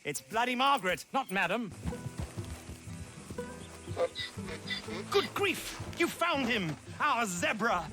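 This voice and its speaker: A man's voice: very high voice, big pitch swings, unhurried, music in the background, heavily acted. A male actor playing a flamboyant British stage animal-tamer showman in drag, theatrical and grandiloquent, in a video game cutscene. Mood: grandiose, excited.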